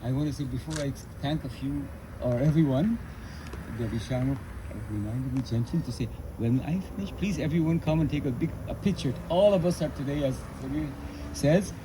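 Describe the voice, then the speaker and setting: A man from Guyana giving an informal speech of thanks in deliberate, broken phrases with pauses. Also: mid-range voice. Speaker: speaker giving a vote of thanks. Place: live ceremony at a statue. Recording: live event with vehicle noise.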